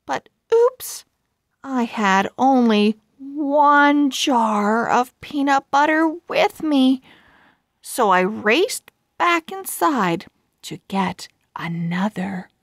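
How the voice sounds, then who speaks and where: A woman reading a children's book aloud as a storyteller, in a deliberate, expressive manner with careful enunciation. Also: high-pitched, no room sound, studio quality.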